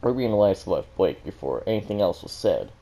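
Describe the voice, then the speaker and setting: A man's voice: fairly low pitch, big pitch swings, brisk, warm tone, low-quality recording. A man reading a story aloud as an amateur, stumbling now and then over words and correcting himself. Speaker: fan fiction reader and commentator.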